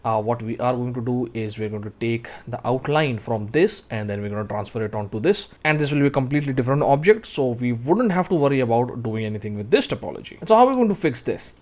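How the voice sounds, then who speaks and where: A man in his thirties narrating a step-by-step software tutorial, explaining each action as he does it. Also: medium pitch, lively intonation, quick pace, few pauses. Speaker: tutorial instructor.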